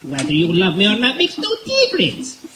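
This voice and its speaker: Casting: merciless alien emperor, pompous and commanding, sharp swooping voice, radio serial staged in a roomy, noisy space. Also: male voice, sits high, wildly swooping pitch, brisk, exaggerated voice. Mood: coldly menacing. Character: cruel, imperious male alien emperor, coldly gloating. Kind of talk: old-time radio serial acting on stage. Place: outdoor woodland stage at night.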